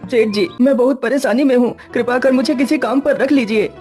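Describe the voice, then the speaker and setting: A woman's voice: on the high side, brisk, darkish tone, faint music behind, close to an everyday voice. A woman voicing an anxious, hardworking young male farmer in a cartoon, earnest and pleading.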